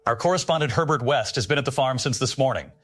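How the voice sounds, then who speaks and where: A man's voice: medium pitch, animated, quick pace, a bit bright, soft background music. A man playing a polished television news anchor in an audio drama, speaking in a formal, composed broadcast style. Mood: composed, inquiring.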